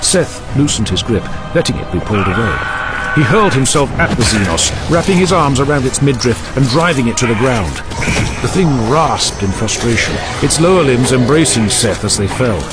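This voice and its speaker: A man's voice: medium pitch, animated, normal speed, loud music behind the voice. A man narrating a scripted audio drama in a theatrical, emphatic, intense manner.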